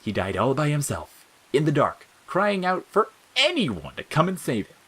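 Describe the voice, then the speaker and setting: Man voicing a cruel, volatile talking flower, swooping high. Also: huge pitch swings, moderate pace, a bit bright, breathy edge, full character voice. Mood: gleefully cruel. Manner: jeering, cackling, swinging from sneers to wheedling. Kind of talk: dramatic audiobook character acting.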